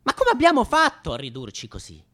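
A man's voice: extremely high pitch, huge pitch swings, fairly quick, sharp tone. A man in his late twenties performing a satirical radio comedy monologue, theatrical and mock-nostalgic, with rhetorical questions and hesitant repetitions. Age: late 20s.

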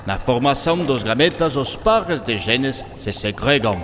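A man's voice: mid-range voice, lively intonation, a little slow, natural voice. Man acting as eccentric scientist, German accent, patient enthusiastic teacher, radio play, long reverb, music underneath. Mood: patient explaining. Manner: didactic, peppers speech with German words. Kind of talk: scripted radio drama dialogue.

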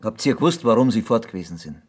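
An actor playing a knowing villager with a Bavarian accent, wry and probing, in a radio play. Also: male voice, fairly low pitch, lively intonation, fast, darkish tone, husky. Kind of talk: 1970s German radio play acting.

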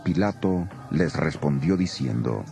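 A man's voice: very deep voice, lively intonation, measured pace, gravelly, a little mellow. A man narrating scripture as a solemn, dramatized scripted reading. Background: music.

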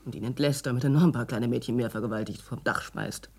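A woman's voice: extremely low pitch, fast talker, vocal fry, a little mellow, breathy edge, exaggerated voice. Bitter, edgy middle-aged woman. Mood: bitter, angry. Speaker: radio drama actor. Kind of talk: German radio drama acting.

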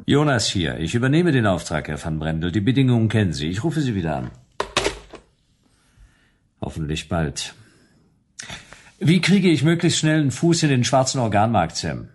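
An actor playing a wry, world-weary private detective in a sci-fi radio drama, with laconic, deadpan narration and dialogue. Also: male voice, lowish voice, moderate pace, pauses often, a little hiss or hum.

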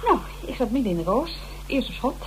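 A woman playing a brisk, cocky investigator in a radio drama, speaking flippantly. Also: high-pitched, big pitch swings, a little hiss or hum, poor audio. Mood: pleased, cocky. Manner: breezy, flippant. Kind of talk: radio drama acting, casual dialogue.

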